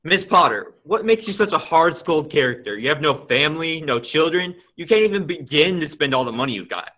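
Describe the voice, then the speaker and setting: A man's voice: a little high, lively intonation, fairly quick, pushed and bright. A young man playing a tired, principled, kindly father in a radio play. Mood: reproachful. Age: young adult.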